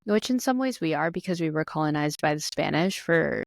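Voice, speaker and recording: A woman's voice: sits low, animated, fairly quick, gravelly, no echo, studio quality. A woman hosting a podcast, talking in a friendly, conversational way. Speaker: podcast host, therapist. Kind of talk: podcast interview.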